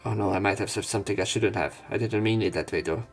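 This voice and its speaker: A man's voice: fairly low pitch, fast talker, vocal fry. A man hosting a let's play, reading lines aloud and commenting casually with informal, wry asides. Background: game music.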